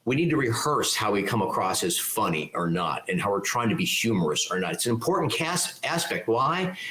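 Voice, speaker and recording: An older man with a fairly low voice, talking loud and clear and laying out his points with emphasis. He is recorded in a roomy, echoey space. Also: very animated, a little fast. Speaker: keynote speaker and leadership mentor. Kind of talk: interview answers, conversational.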